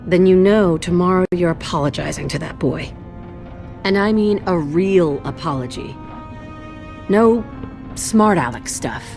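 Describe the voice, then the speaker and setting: Gravelly-edged, low-voiced worried mother. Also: a little slow, pauses often, over music. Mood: stern, exasperated. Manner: gentle but firm, motherly. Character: loving, firm, worried mother of a young boy. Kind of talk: animated game drama acting.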